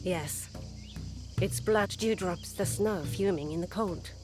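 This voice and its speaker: An actress playing a proud, earnest female warrior in a video game, speaking deliberately in formal, poetic phrases. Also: lowish voice, music in the background. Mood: proud, defiant. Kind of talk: dramatic video game acting.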